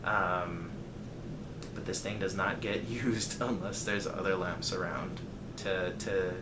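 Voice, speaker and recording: A man's voice: deep voice, fairly even intonation, slow. A man telling a personal story casually to viewers, acting out bits of dialogue as he goes. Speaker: storyteller vlogger. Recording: roomy echo, clear background noise.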